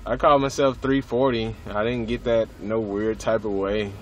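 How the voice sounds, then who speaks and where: A man's voice: lowish voice, level tone, normal speed, slight background noise. An American man chatting casually as a radio hobbyist, in a laid-back, slangy way with some swearing.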